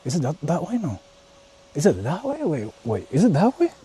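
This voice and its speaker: Animated, higher-pitched man livestreaming outdoors. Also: moderate pace. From Japan. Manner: animated, swearing, thinking aloud. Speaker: livestreamer exploring haunted spots. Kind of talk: casual live vlog chat to viewers. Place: outdoors on the move in rural area. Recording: noisy outdoor livestream recording.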